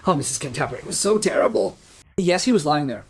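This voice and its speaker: A man acts a chattering housekeeper in a crime audio drama. Her voice is husky and hurried, and she rambles and corrects herself in a fluster. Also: a little high, lively intonation. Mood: flustered, rambling. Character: flustered, chattering female housekeeper who found the body. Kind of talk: crime radio play acting.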